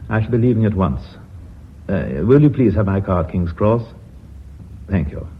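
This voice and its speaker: Radio play: male actor as grave, gentlemanly board member, deep register, polite formality, slightly muffled broadcast. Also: normal speed, close to an everyday voice. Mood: grave, courteous. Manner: formal, courteous. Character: courteous, dignified titled businessman with an ailing wife. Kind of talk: radio drama acting. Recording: dull radio recording, faint hiss.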